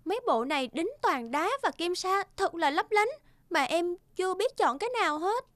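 A woman's voice: very high-pitched, expressive, normal speed, dry recording. A woman playing a vain, materialistic young bride-to-be in a cartoon, with music underneath. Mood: delighted. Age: young adult. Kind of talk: cartoon dubbing.

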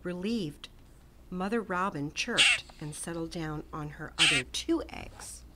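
A middle-aged woman reading a children's picture book aloud with gentle, expressive storytelling and soft whispered asides. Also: fairly low pitch, takes it slowly, very noisy. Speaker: storytime reader. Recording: music playing under the voice.

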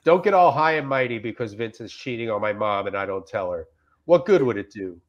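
A man in casual comic chat and reading reviews aloud, rambling and deadpan, with some swearing, on a video call. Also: fairly low pitch, fairly quick, dull audio. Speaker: comedian guest. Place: indoors on a group video call.

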